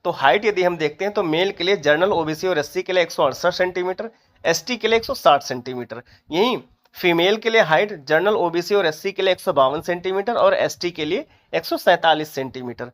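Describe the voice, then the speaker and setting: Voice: mid-range voice, a little fast. A presenter giving an informational explainer, methodically reading out details and figures. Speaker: exam update presenter.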